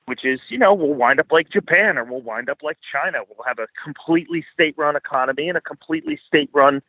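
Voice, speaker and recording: A man's voice: fairly high pitch, quick pace, no echo. A man giving blunt, opinionated commentary as a podcast guest, emphatic and often profane, repeating his points. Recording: thin phone-like line, dry.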